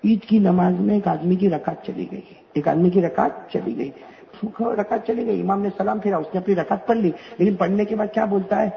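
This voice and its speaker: Male preacher, very high voice, repetitive rhetorical style, muffled lo-fi recording. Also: moderate pace, vocal fry. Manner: repetitive, rhetorical, calls out questions to the crowd. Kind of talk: religious speech to a gathering. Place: religious gathering. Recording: dull lo-fi recording.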